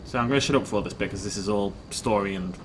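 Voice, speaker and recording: A man's voice: on the low side, fast, background noise. A man casually rambling while commenting on his own gameplay, chatty and self-deprecating. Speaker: let's play host.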